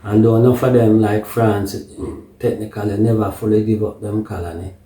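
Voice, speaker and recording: A man with a Jamaican accent giving an unscripted political monologue in patois-tinged phrasing, deliberate and halting, often checking that the listener follows. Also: lowish voice, flat delivery, mellow. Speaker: political commentator. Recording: echoey room, distant microphone.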